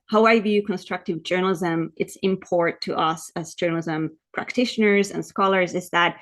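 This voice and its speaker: A woman's voice: on the low side, fairly even intonation, normal speed. A woman from Finland with a Finnish accent, presenting like an academic lecturer on a video call, explaining and often repeating words as she goes. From Lapland, Finland. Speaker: academic researcher presenting at a webinar.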